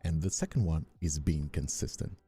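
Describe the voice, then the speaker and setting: Very deep, dark-voiced man chatting. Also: moderate pace, breathy edge, faint music behind. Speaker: streamer and ASMR voice actor. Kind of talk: casual livestream chat answering viewers.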